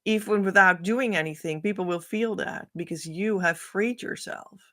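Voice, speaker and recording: A middle-aged woman whose first language is Dutch, giving an oracle card reading as a spiritual coach, speaking to camera in a slow, musing way. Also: mid-range voice. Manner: slow, musing, drifts between soft and louder emphasis.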